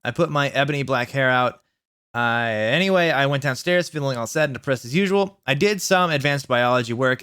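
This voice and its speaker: A man's voice: medium pitch, a bit bright, no echo, studio quality. A man hosting a comic dramatic reading of fan fiction, mock-earnest and sarcastic in his asides.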